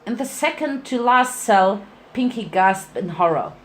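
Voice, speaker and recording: A woman's voice: fairly high pitch, animated, a little slow. A woman narrating a story as a dramatic reading, now and then stumbling and rereading a phrase. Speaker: fan fiction reader.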